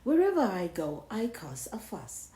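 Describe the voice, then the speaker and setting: A woman's voice: mid-range voice, wildly swooping pitch, measured pace, mellow. A woman voicing a pompous, showy big cheese in a theatrical picture-book read-aloud. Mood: smug, showy. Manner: pompous, theatrical, self-admiring. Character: boastful, showy big cheese craving the spotlight. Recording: roomy, echoing sound.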